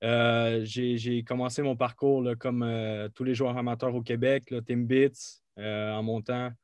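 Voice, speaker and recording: A young man with a Québécois accent, a college soccer player telling his story in an interview, with many pauses and restarts. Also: mid-range voice, little pitch movement, a little mellow, clean tone, no echo. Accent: Québécois French. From Quebec. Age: young adult. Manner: hesitant, many fillers and restarts. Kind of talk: casual interview.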